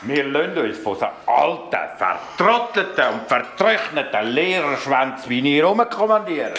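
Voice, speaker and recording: Radio-play henchman: menacing, mocking Swiss German, widely varied pitch, echoey recording. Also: big pitch swings, normal speed. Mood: contemptuous. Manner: mocking, theatrical. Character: menacing male henchman, sneering, loyal to his boss. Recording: echoey room with clear background noise.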